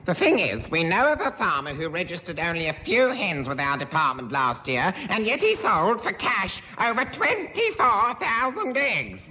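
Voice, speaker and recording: A man playing a fussy, excitable government tax official in a radio comedy. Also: sits high, normal speed, few pauses, heavily acted. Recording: old radio recording with reverb.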